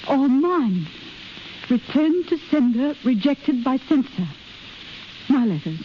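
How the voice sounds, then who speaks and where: An actress playing a lonely, anxious expectant young wife, tender and wistful, in earnest 1930s radio drama style. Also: female voice, high voice, sing-song, slow pace, full character voice. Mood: despairing. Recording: muffled, hissy 1930s radio recording.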